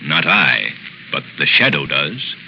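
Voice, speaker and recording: A man playing a resolute, assured gentleman sleuth and pilot in a 1930s radio drama. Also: a bass voice, big pitch swings, takes it slowly, heavily acted. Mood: determined, confiding. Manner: crisp, earnest, assured. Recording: noisy muffled 1930s radio recording, engine drone.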